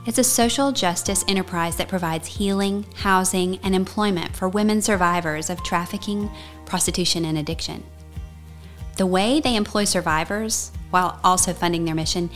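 A woman podcast host delivering a personal sponsor read, addressing listeners directly. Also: fairly low pitch. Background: music.

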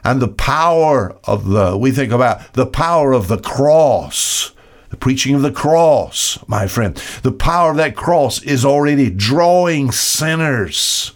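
A man preaching an expository sermon, emphatic, with dramatic pauses and rhetorical questions. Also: medium pitch, expressive. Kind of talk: expository sermon, reading and explaining Bible verses.

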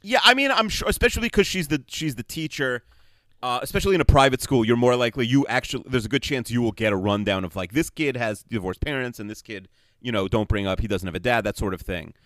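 A man co-hosting a podcast, thinking out loud in a rambling, hesitant way with many restarts. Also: mid-range voice, lively intonation, quick pace, dry recording. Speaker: podcast co-host. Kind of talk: casual podcast chat.

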